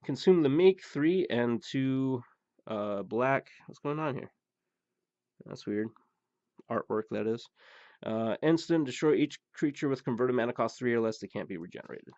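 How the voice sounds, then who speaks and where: A man hosting a pack-opening show, rambling through the cards with many fillers and blunt remarks, his voice going hoarse. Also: mid-range voice, animated, moderate pace, darkish tone, no echo. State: losing his voice. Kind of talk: casual card-opening commentary. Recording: dull, dry recording.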